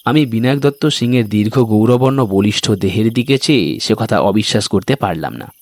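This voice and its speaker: A man's voice: on the low side, a little fast, a little mellow, dry recording, clean studio sound. A man hosting and reading an audio story in a scripted narration style. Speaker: story reader and host. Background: music.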